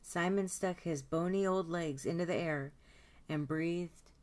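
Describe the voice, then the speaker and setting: A woman with a low-pitched voice reads a picture book aloud for children. Her delivery is expressive and deliberate, and she draws out key words for emphasis as a storyteller would. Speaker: storytime host reading aloud.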